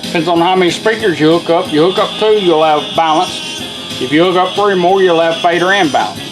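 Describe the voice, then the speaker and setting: A man's voice: high-pitched, fairly quick, over music, poor audio. A man giving an informal hands-on product tutorial in a folksy, step-by-step way. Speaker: product demonstrator.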